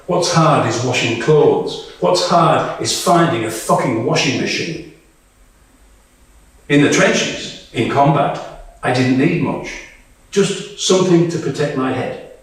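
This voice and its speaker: Guy reading as tired blunt female soldier, dry humour, takes it slow, super echoey room. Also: medium pitch. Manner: wry, conversational, profane. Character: Tonya, weary, blunt female Ukrainian soldier. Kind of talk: dramatic monologue read to a live audience. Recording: very echoey room, distant microphone.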